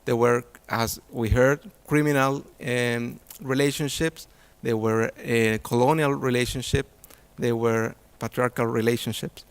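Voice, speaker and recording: A man's voice: medium pitch, steady tone, unhurried, few pauses, clean tone. Man presenting an academic talk. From Chile. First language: Spanish. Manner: hesitant, many fillers and restarts. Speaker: conference presenter.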